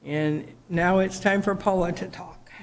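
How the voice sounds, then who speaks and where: A woman who is board president introducing members and staff at a public meeting, with a halting delivery and frequent fillers. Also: fairly low pitch, fairly even intonation, a little hiss or hum. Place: township meeting room.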